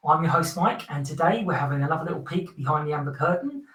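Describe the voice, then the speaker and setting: A man hosts a relaxed podcast chat, friendly and prone to laughing, with plenty of ums. His voice is bright and sits a little high. He is recorded a bit away from the mic, so the room echoes around him. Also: quick pace, distant mic. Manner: chatty, friendly, laughs often with filler ums. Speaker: podcast host.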